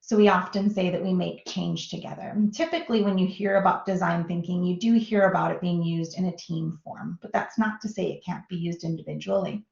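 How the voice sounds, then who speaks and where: A woman's voice: lowish voice, steady tone, fairly quick, vocal fry, hardly any pauses, darkish tone, echoey room, highs cut off. A woman from Minnesota with a Minnesota American accent, giving an upbeat, chatty guest lecture over a video call. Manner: chatty, upbeat, laughs often. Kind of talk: online lecture.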